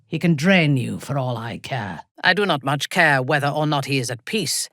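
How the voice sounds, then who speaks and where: A woman playing a proud, ruthless dark elf commander in a video game, speaking formally and haughtily in a breathy, husky voice. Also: a bass voice, lively intonation, dry recording, studio quality, heavily acted. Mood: disdainful, commanding. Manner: measured, haughty, formal diction. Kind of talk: video game character dialogue.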